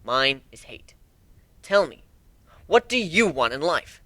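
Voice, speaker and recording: A cocky griffin pirate captain, acted by a man, with lively intonation and a bright edge. He is jaded, sardonic and used to giving orders in a fantasy audio story. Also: on the high side, slow, dry recording, heavily acted. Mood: stern, jaded. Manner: lazy, sardonic, commanding.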